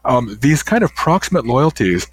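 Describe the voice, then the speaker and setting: An older man, a guest author, answering interview questions in a thoughtful, halting way with many restarts. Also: medium pitch, big pitch swings, slightly rough.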